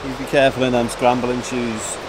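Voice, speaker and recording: A man's voice: mid-range voice, little pitch movement, a little fast, loud music behind the voice, poor audio. A young man vlogging on a coastal clifftop, chatting casually into a handheld camera in short, laid-back remarks. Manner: laid-back, brief quips. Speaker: travel vlogger. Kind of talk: casual outdoor vlog chat. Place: outdoors on coastal clifftop and shore. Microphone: camera held at arm's length. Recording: wind and surf noise. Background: waves and wind.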